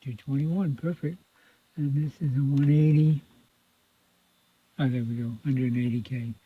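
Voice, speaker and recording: A man giving an informal, folksy repair demonstration, thinking aloud in a halting mutter as he works. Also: medium pitch, steady tone, breathy edge, dry recording. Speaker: radio repair hobbyist presenting own repair video. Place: indoors at a repair workbench. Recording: muffled phone-like audio, lo-fi.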